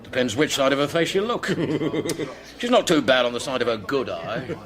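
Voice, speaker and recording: An actor playing a gossipy, crude working-class cafe regular who jokes cheerfully in a colloquial, droll way, in a British radio drama. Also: male voice, medium pitch, animated, moderate pace, a crowd in the background, natural voice.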